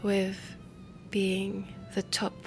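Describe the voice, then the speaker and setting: A woman playing a refined, ambitious heiress inventor in a steampunk audio roleplay, speaking softly and deliberately with a French accent, often close to a whisper. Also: medium pitch, completely flat delivery, vocal fry. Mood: earnest, proud. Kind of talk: soft intimate audio roleplay. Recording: music under the voice. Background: background music.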